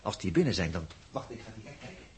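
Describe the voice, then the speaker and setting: An actor playing a brisk, impatient space crewman giving curt orders in a 1960s radio drama. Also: lowish voice, sing-song. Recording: old radio recording, faint noise.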